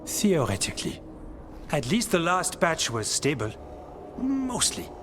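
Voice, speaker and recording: An actor playing a gentle, earnest, nature-loving warrior man in a video game, speaking in short, soft-hearted phrases. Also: a little high, wildly swooping pitch, a little slow, noisy background. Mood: earnest, troubled. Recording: clear music behind the voice.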